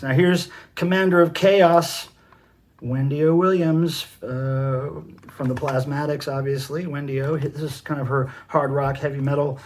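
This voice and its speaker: A man's voice: medium pitch, darkish tone. A middle-aged man with an American accent talking casually and enthusiastically in a rambling show-and-tell as a collector hosting his own video. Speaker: cassette collector and video host. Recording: noisy home recording with clicks.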